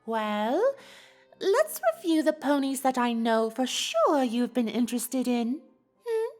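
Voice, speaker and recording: A woman's voice: high-pitched, sing-song, takes it slowly, darkish tone, soft background music, heavily acted. A woman voicing an elegant, teasing female pony in a dramatized story reading, with an affected, refined delivery. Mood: playful, eager.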